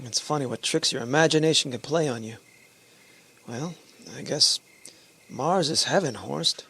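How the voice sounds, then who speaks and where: A man's voice: mid-range voice, normal speed, bright, forceful tone, breathy edge. A man acting the part of an anxious rocket captain in an earnest, dramatic old-time radio style. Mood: wistful relief. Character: anxious male rocket captain stranded on Mars. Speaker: actor in amateur radio play.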